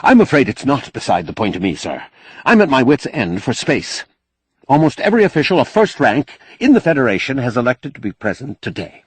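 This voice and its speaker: A man's voice: medium pitch, very animated, brisk, husky, dry recording, pitched above the performer's own voice. A man voicing a younger, officious protocol official, polite and hesitant, in an audiobook novel. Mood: stiffly apologetic. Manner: polite, apologetic, hesitant, fussy.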